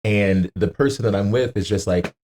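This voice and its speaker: A man's voice: fairly low pitch, normal speed, darkish tone, a little hiss or hum. A man in his thirties, a podcast guest telling casual anecdotes with slang, swearing and acted-out quotes. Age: 30s.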